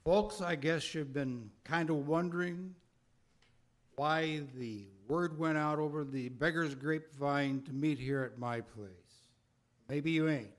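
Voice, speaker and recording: Higher-voiced, folksy beggar played by a man. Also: a little slow, natural voice. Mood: matter-of-fact. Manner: folksy, slangy. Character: doubtful, plain-spoken lame pencil-selling beggar man. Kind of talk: 1930s radio drama acting.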